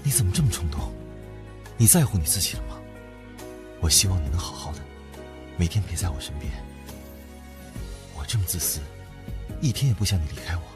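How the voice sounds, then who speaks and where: Guy acting protective boyfriend in a romance radio play, low soft murmury voice, loud music under it. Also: big pitch swings, a little fast, long pauses. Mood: tender reproach. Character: protective, tenderly possessive older boyfriend. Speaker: voice actor. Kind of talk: Chinese boys' love audio drama acting.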